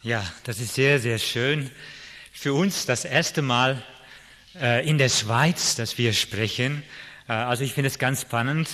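A man's voice: medium pitch, a little slow, bright, forceful tone. A middle-aged man from the Netherlands, a native Dutch speaker with a Dutch accent, giving an informal personal talk as a guest speaker. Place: large reverberant hall. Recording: echoey hall with long reverb.

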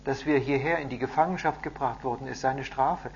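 A man's voice: mid-range voice, brisk, vocal fry, close to an everyday voice. Man reading as a subdued, sorrowful old grandfather. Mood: sorrowful, resigned. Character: sad, resigned old Israelite grandfather in exile. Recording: muffled audio with hum and noise.

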